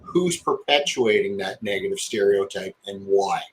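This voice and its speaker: A man's voice: lowish voice, moderate pace, a little hiss or hum, rough recording. A man rambling in a casual chat, with many filler words.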